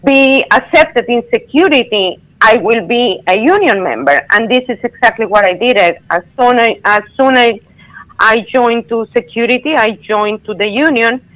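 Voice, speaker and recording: A woman, a caller telling her story on a radio show in earnest, halting English over a phone line. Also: fairly high pitch, lively intonation, a bit bright.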